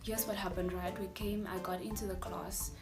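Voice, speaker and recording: A South African woman in her twenties, a native Afrikaans speaker, telling her student story in a chatty, animated way with breathy asides. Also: medium pitch, normal speed, over music. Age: 20s. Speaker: vlogger, university student. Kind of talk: candid personal storytelling vlog.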